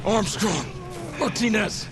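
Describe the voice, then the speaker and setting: An actor playing a battle-hardened, loyal squad leader in a video game, urgent and commanding with a gravelly voice. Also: male voice, high voice, takes it slowly. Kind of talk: cinematic video game acting. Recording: loud music and vehicle noise behind.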